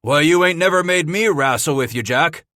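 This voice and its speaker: A gruff old frontier hand, put on by a male narrator, giving blunt opinions in a rough drawl. A touch higher and louder than the narrator's own bright voice. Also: lively intonation, moderate pace, dry recording, clean studio sound. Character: gruff weathered older male trapper.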